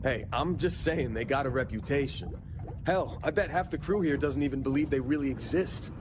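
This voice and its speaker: Cocky young space miner male actor, skeptical, folksy, mid-pitched, with clear noise behind him. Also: moderate pace, natural voice. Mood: scoffing. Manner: folksy, scoffing, colloquial. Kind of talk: video game voice acting.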